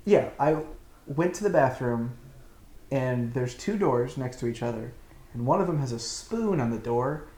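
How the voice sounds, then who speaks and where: A man's voice: medium pitch, lively intonation, unhurried, warm tone, roomy sound. A man co-hosting a podcast, telling a story in wry, laughing casual banter. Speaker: podcast co-host.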